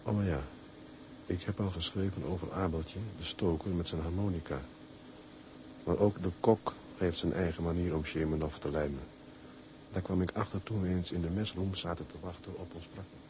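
A man's voice: deep voice, steady tone, normal speed, natural voice. A man playing an earnest ship's mate narrating a letter home in a Dutch radio drama, plain and content. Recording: noisy ambience behind voice.

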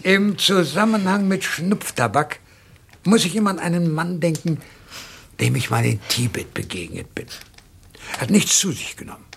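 An actor playing an older, eccentric colonel in a radio crime drama, genial and boastful, savouring his words in a theatrical, deliberate manner. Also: a little high, lively intonation, creaky, a bit bright, slight background noise. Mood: boastful, storytelling.

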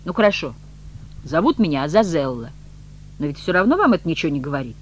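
Audiobook role: sardonic devilish male envoy, acted by a male reader, brusque, easily offended, slightly high voice, faint noisy recording. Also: big pitch swings, fast. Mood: grudging. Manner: ironic, grumbling, impatient.

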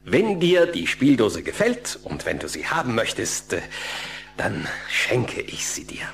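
A man playing a calm, kindly psychologist in a German children's radio play, speaking in a measured, reassuring and gently firm way. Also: fairly low pitch, vocal fry, noisy background, exaggerated voice. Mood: coaxing, kindly.

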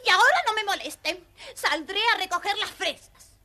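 A woman's voice: very high-pitched, expressive, normal speed, sharp tone, slight background noise, heavily acted. A woman playing a spoiled, rude, demanding young girl, a haughty and whiny stepsister in a children's audio fairy tale. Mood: rude, imperious. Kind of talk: scripted children's audio storybook acting.

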